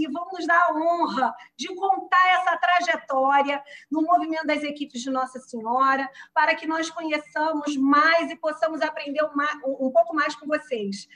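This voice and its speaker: A woman's voice: high voice, fairly bright tone, rough recording. A woman hosting a podcast, introducing and welcoming her guests graciously. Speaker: host.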